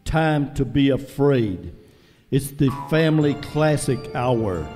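A man's voice: mid-range voice, lively intonation, takes it slowly, dark tone. A man playing a mock-ominous narrator in an old-time radio horror parody, dramatic in delivery. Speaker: narrator of a comic radio skit. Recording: echoey hall, music behind.

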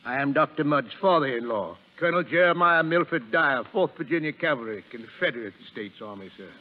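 A man's voice: mid-range voice, animated, fairly quick, natural voice. A man playing a proud, hostile old Southern colonel in 1930s radio drama, pompous and testy. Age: older. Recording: muffled, phone-like 1930s radio recording.

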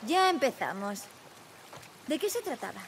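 A woman's voice: high-pitched, sing-song, a little slow, heavy background noise, full character voice. A woman playing a direct, curious teenage girl survivor in a video game, with a Castilian Spanish accent. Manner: direct, inquisitive. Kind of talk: naturalistic video game acting. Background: rain, outdoor game ambience.

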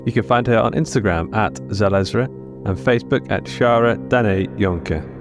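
A man's voice: on the low side, moderate pace. A man reading a scripted podcast outro with credits as the host. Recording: music behind the voice.

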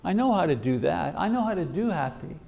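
A man in his sixties preaching a sermon at a podium, reading scripture and acting out prayers in a measured teaching manner. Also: a little high, sing-song, creaky, a little mellow. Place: lecture hall at a podium. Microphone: podium microphone.

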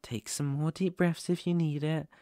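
A British man playing a protective, dominant lone werewolf in an ASMR roleplay, soothing and deliberate with frequent pauses. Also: fairly high pitch, fairly even intonation, warm tone, no echo, clean studio sound, close to an everyday voice. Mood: protective, resolute.

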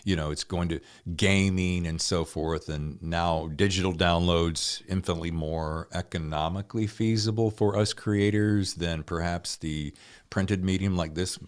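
A man's voice: low-pitched, fairly even intonation, normal speed, clean tone. A man in his sixties hosting a podcast, interviewing guests in a casual, conversational way. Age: 60s.

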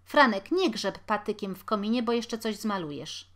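A woman reading an audiobook, voicing a poor, harried washerwoman and mother who talks loudly and laments. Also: lively intonation, normal speed, lightly acted. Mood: fretful. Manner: loud, high, lamenting and scolding. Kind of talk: audiobook character voice.

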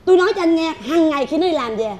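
Brash, scolding southern Vietnamese mother-in-law, middle-aged, piercingly high, live comedy stage with loud crowd. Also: animated, racing. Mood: boastful. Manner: theatrical, exclaiming, repeats herself for emphasis. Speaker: comic stage actress. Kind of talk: broad stage comedy acting.

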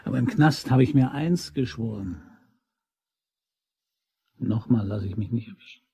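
A scheming male ex-convict in a crime radio play. The voice is very raspy, creaky and mellow. He talks in an insinuating, slangy, persuasive way. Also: medium pitch, measured pace, exaggerated voice. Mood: grim, confiding. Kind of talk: German crime radio drama acting.

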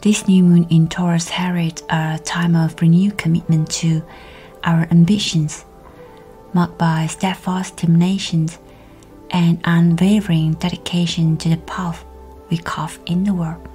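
A woman with a slightly low voice leads a guided meditation very slowly. Her delivery is soft and breathy, often close to a whisper, and soothingly measured. Music plays behind her. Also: little pitch movement. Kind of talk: guided meditation, scripted narration. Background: background music.

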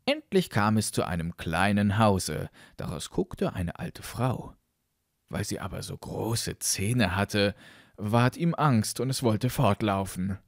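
A male storyteller reads a fairytale in a dry recording. His delivery is unhurried and deliberate, with a lively, expressive melody. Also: lowish voice, creaky, no room sound. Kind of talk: fairytale audiobook narration.

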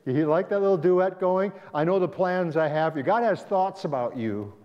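A man's voice: sits high, normal speed. A male pastor teaching a Sabbath school class, talking it through conversationally and asking the class questions. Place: church sanctuary. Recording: dull echoey church hall recording.

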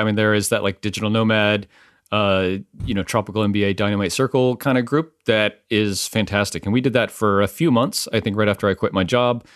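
Chatty low-voiced man hosting a podcast. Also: dry recording, clean studio sound. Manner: chatty, lots of fillers and restarts. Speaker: podcast host. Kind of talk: casual conversational interview. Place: home in a small village.

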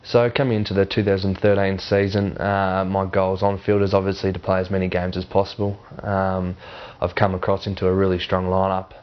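A man in his twenties with an Australian accent, chatting casually in an interview with halting restarts. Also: low-pitched, level tone. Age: 20s. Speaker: Australian rules footballer. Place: warm indoor lounge with a fireplace. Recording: slightly dull sound.

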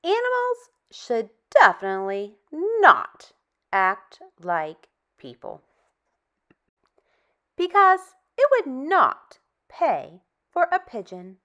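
A woman in her thirties hosting a read-aloud for preschoolers, with sing-song, exaggerated, animated enunciation. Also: sits high, slow, dull audio. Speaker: storytime host for young children.

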